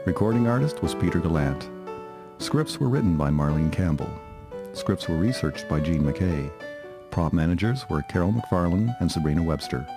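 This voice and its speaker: Very deep male announcer over music. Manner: formal, deliberate reading. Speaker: credits announcer. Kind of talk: scripted announcement. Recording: music behind voice. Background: instrumental music.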